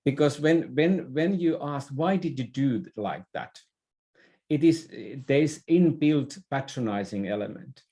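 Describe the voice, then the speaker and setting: A man's voice: fairly high pitch, measured pace, mellow. An older man giving thoughtful interview answers, often repeating a word as he searches for phrasing. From Finland. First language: Finnish. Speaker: interview guest, business leader and former theatre director.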